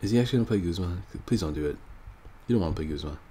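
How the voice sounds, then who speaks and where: A man's voice: low voice, lively intonation, very fast, warm tone, husky. A man with a stuffy, nasal voice from a cold, casually thinking aloud while commenting on his own card game match. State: sick, stuffy nose. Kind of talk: live gameplay commentary.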